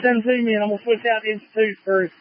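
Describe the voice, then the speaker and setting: A man's voice: high voice, fairly even intonation, racing. A man working as a fireground officer, speaking in emergency radio traffic through a handheld two-way radio. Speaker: firefighter, fireground division officer. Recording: muffled lo-fi two-way fire radio.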